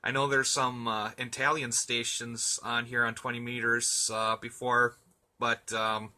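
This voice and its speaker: A man's voice: mid-range voice, level tone, measured pace, sharp tone. A man in a casual radio chat, talking in an easygoing, chatty and hesitant way as an amateur operator.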